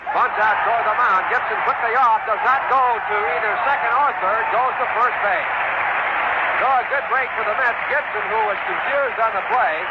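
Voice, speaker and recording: An American man calling baseball play-by-play in 1960s radio style, in measured, clipped phrases. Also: high voice. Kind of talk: 1960s radio sports commentary. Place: outdoor ballpark broadcast. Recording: dull noisy old radio broadcast, loud crowd. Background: loud stadium crowd and noise.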